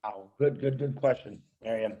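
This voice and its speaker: A man moderating a video call Q&A, running it briskly and joking with the callers. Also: mid-range voice, husky, dull audio.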